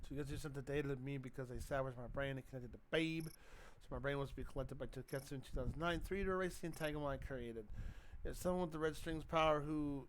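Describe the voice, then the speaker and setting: A man's voice: medium pitch, quick pace, a little hiss or hum, rough recording. A man casually reacting to a game and reading its text aloud, stumbling over words and swearing now and then. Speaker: let's-play streamer.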